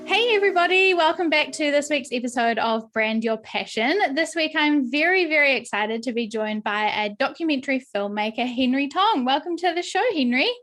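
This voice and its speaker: A young woman with an Australian accent hosts a podcast in an upbeat, chatty way, filling pauses with "um" and "like". Her voice sits fairly high. Soft music plays faintly behind her. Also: animated. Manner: upbeat, frequent fillers like "um" and "like". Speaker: podcast host and brand coach. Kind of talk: podcast interview.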